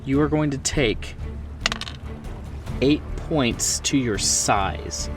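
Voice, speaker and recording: A man with a mid-pitched voice acts as game master at a horror tabletop game. He talks players through rules and scenes conversationally, a little away from the mic, with clear music playing behind him. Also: very slow, distant mic. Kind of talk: narrating a tabletop game, off the cuff. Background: music bed.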